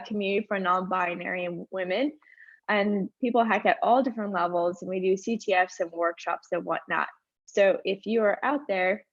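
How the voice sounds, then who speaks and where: A woman presenting at a webinar in a lively, conversational way, now and then sounding a bit strained from allergies. Her voice sits at a middle pitch and comes through a dry, slightly muffled call line. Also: no room sound. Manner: upbeat, conversational, chatty asides. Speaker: conference speaker.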